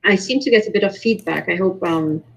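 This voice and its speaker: A woman from Romania giving personal testimony as a guest in an online panel, earnest and halting, with restarts. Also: medium pitch, gravelly, husky, low-quality recording. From born in Romania, later moved to Germany. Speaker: invited panel guest, researcher. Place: remote online call.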